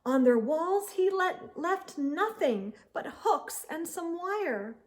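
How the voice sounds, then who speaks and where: High-voiced, unhurried woman storyteller. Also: lively intonation. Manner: dramatic, rhythmic, storyteller emphasis. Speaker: children's storytime host. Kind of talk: expressive read-aloud of a rhyming picture book.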